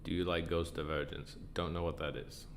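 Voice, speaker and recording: A man hosting a casual live trading stream, croaky and tired, answering chat questions in a relaxed way. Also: a little fast, slight background noise. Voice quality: croaky, deep from sinus trouble. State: tired, up a long time. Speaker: livestream trading host.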